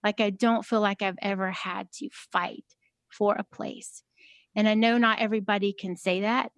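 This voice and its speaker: A woman with a high voice talking casually as a podcast guest, soft-spoken and hesitant, with many fillers. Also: steady tone, normal speed, dry recording, studio quality. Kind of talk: casual conversation.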